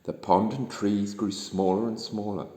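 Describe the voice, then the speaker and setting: Man, New Zealand accent, bedtime story reader, unhurried, mellow, dramatic, echoey room. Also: lowish voice, steady tone. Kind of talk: expressive children's bedtime story reading. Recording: echoey room with long reverb.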